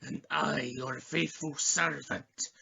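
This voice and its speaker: An actor playing a fawning, anxious assassin who serves a cult, with theatrical servile pleading and a strained raspy voice, in an amateur radio play. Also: male voice, mid-range voice, fairly even intonation, slow pace, pushed and bright, dull audio, heavily acted. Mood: servile, proud.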